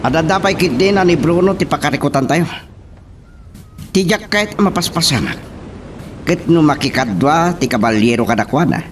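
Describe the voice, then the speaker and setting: A man plays a scheming heir in an Ilocano-accented radio drama. His delivery swings widely in pitch, with clearly noisy ambience behind the voice. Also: lively intonation, normal speed, natural voice. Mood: plotting.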